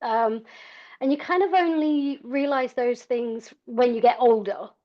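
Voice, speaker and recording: A woman's voice: on the high side, a little slow. A middle-aged woman from South Africa telling her personal story in a frank, conversational, self-deprecating way as an interview guest. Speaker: podcast guest, endurance cyclist. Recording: dull, lo-fi audio.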